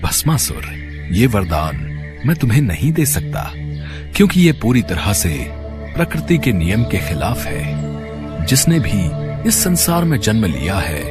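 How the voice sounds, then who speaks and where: A man's voice: lowish voice, sing-song, a little slow, music in the background. A man voicing a mighty, solemn god in a devotional story, with a grand, authoritative manner. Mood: stern, majestic. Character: mighty, solemn male god granting boons.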